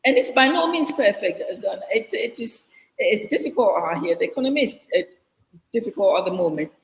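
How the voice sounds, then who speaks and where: A woman's voice: medium pitch, animated, a little fast. Earnest Ghanaian woman, echoey room. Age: middle-aged. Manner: earnest, reflective, with frequent restarts. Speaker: guest. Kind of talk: interview answer. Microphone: video call.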